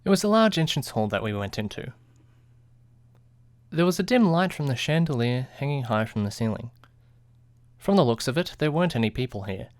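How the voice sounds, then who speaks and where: A man's voice: mid-range voice, no room sound, close to an everyday voice. A man playing a nervous, well-meaning young man who narrates his own story in an audio drama, earnest and anxious. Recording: loud music behind the voice. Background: background music.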